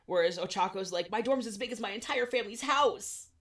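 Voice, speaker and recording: A woman in her twenties co-hosting a podcast, chatting casually with animated, swearing asides. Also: mid-range voice, fairly quick. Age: 20s. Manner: animated, swearing, self-deprecating. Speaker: podcast co-host. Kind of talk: casual chat.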